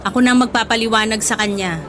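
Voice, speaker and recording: A woman's voice: on the high side, steady tone, fast, fairly bright tone, close to an everyday voice. A woman acting a middle-aged mother in a Tagalog radio drama, fondly scolding her grown son with sighing interjections. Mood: exasperated affection. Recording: music bed under the voice.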